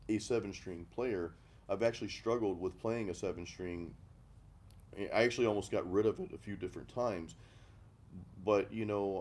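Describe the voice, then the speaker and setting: A middle-aged man from the American Deep South hosting a casual music chat, rambling and self-deprecating with frequent restarts. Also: darkish tone. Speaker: host of a music chat stream. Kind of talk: casual chat over beers, reading live comments.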